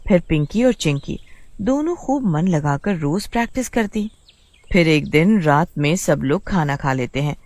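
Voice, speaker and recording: A woman narrating a cartoon story in a smooth, expressive storytelling manner. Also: sits low, normal speed, dry recording. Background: faint music.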